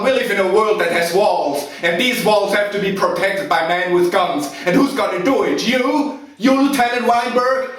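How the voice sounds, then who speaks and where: A man performing a dramatic monologue as a proud, commanding military officer, forceful, declamatory and full of contempt. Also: sits high, voice pushed up. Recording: very echoey room, distant mic, noise.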